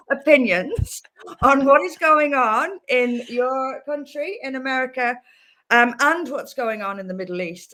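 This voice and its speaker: A woman hosting an interview, asking halting questions with many fillers. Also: a little high, fairly bright tone. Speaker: host. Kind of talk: casual interview.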